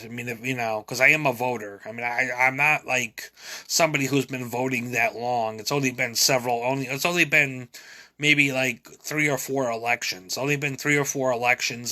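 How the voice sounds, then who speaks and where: Bright-voiced man rambling to camera. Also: mid-range voice. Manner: repeats and restarts words often, many fillers. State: nasal drip, clears throat. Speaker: vlogger. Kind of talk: rambling personal monologue.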